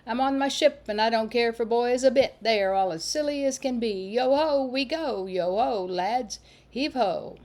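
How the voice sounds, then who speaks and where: A woman's voice: a little high, moderate pace, few pauses, darkish tone, pitched above the performer's own voice, natural voice. A woman voicing a bold, saucy little girl in a storybook reading, teasing and sing-song. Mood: excited, shrill.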